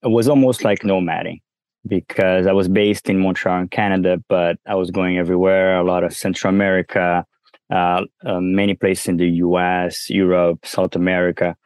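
A man's voice: deep voice, level tone, normal speed, a little mellow, clear, resonant tone, no echo. A man from Brazil with a Brazilian accent tinged with French, talking casually as an interview guest, with many fillers and restarts. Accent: Brazilian with some French. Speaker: guest entrepreneur.

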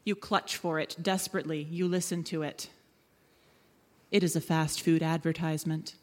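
A woman's voice: low voice, steady tone, moderate pace, darkish tone. A woman giving dramatic scripted narration as the presenter of a live audio drama. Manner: dramatic, theatrical storytelling. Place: live stage in a hall. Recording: echoey hall, live stage.

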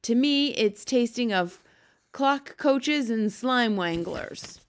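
A woman's voice: on the high side, animated, slow pace, pitched above the performer's own voice. A woman voicing a very old, kindly male giant who talks with mangled grammar and made-up words. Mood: gleeful.

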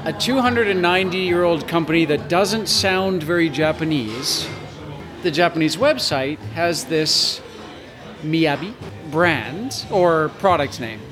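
Male interviewer for a business chat, reflective delivery, lighter-pitched voice, music underneath. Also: takes it slowly.

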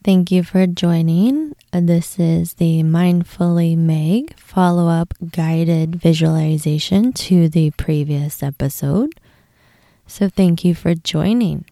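A woman leading a guided meditation in a gentle, unhurried manner, with breathy, hushed moments. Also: lowish voice, no echo, studio quality. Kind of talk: guided meditation, soothing instruction.

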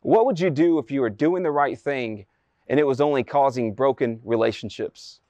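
A man's voice: mid-range voice, moderate pace, a little mellow, dry recording. A man preaching a sermon, retelling a Bible story dramatically with rhetorical questions and emphatic repetition.

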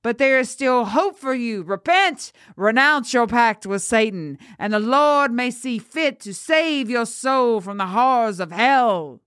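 A woman's voice: fairly high pitch, expressive, a little slow, hardly any pauses, fairly bright tone, clear, resonant tone, dry recording, studio quality, voice pushed up. A woman voicing a devout male priest and father, solemnly preaching while holding back sorrow. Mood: solemn urging. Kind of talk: dramatic storytelling.

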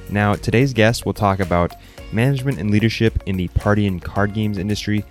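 A man's voice: on the low side, fairly quick, soft background music. A man hosting a podcast, asking his guest friendly, encouraging questions. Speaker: podcast host. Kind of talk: podcast interview.

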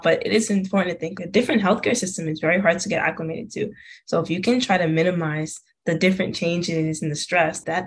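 A young woman, a medical student, hosting a podcast interview in a polite, friendly way. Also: lowish voice.

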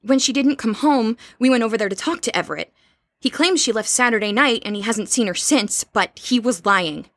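A woman's voice: a little high, fairly quick, fairly bright tone, no room sound, clean studio sound. An actress playing an anxious, insistent young woman with an urgent, direct manner in an audio drama. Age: young adult. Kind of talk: naturalistic audio drama acting.

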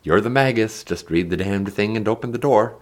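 A man voicing a battle-calm male warrior from a fantasy story, dry, controlled and sarcastic. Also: on the low side, a little fast, natural voice. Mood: calm sarcasm. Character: battle-calm, sardonic male warrior needling his companion.

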